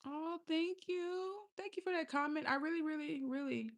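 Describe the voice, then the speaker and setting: A woman with a lisp and a fairly high voice, hosting a relaxed stream. She talks straight to her chat in a loose, profane way. She laughs easily between her words. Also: normal speed. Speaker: livestream host. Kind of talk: casual livestream chat with viewers.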